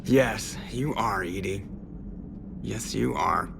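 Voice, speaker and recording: An actor playing a cheeky, excitable starship pilot in a video game, joking and sarcastic with a creaky voice. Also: male voice, on the low side, slow pace, background noise, exaggerated voice. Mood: flirty, teasing. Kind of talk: video game dialogue acting. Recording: clear ship ambience behind voice.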